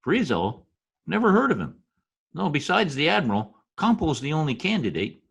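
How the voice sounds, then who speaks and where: Radio drama schemer male actor: glib, cynical politico, rough husky voice, lively intonation. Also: a little high, normal speed, heavily acted. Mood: brisk, glib. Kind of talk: old-time radio drama acting. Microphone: video call.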